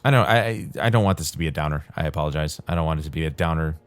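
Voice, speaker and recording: Very deep-voiced man, calm rambling gamer. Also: very fast, no room sound. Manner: calm, soothing, rambling delivery with laughs. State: dry, tired throat. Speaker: gaming channel host. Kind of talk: casual rambling commentary over gameplay.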